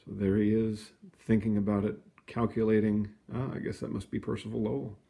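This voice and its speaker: A man reading a children's storybook aloud in an expressive, explanatory way, with casual asides. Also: fairly low pitch, brisk, creaky. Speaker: storybook reader.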